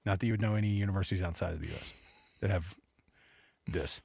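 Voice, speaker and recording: A man's voice: sits low, quick pace, vocal fry, a little mellow, slightly rough, no echo. An American man hosting sports talk radio with casual banter, speaking into a close studio microphone.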